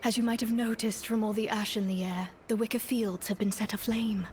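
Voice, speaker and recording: A woman's voice: medium pitch, steady tone, a little fast, darkish tone, slight background noise, natural voice. A woman voicing a cautioning ally in a fantasy video game. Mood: concerned, warning.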